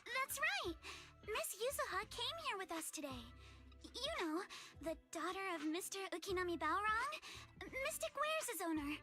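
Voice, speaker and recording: A woman voicing a playful, perky teenage girl in an anime game, with an animated, teasing manner. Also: very high voice, unhurried, loud music behind the voice, full character voice. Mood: chirpy, reassuring.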